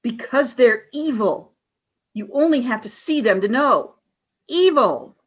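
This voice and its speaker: Icy alien leader lady, short clipped lines, kinda high and husky, woman acting it. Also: lively intonation, unhurried. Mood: cold. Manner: clipped, curt questions and statements. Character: cold, commanding alien woman leader, suspicious. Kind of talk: amateur radio play acting.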